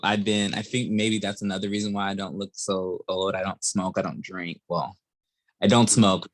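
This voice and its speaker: A man's voice: low-pitched, level tone, normal speed. A man in his thirties hosting a podcast, chatting casually and laughing easily with his guest. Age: 30s. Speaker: podcast host.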